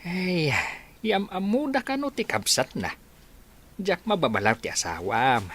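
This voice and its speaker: A man's voice: high-pitched, big pitch swings, measured pace, a bit bright, full character voice. Swooping, grumbling old grandfather. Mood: explaining gently. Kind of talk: radio drama acting.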